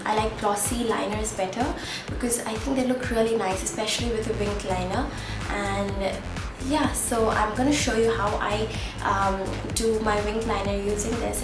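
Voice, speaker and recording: A young woman, chatting through a makeup tutorial with plenty of ums and uhs, sounding stuffy from a cold. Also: medium pitch. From India. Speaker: beauty vlogger. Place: home room at a mirror. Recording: echoey room, camera at a distance, loud music behind.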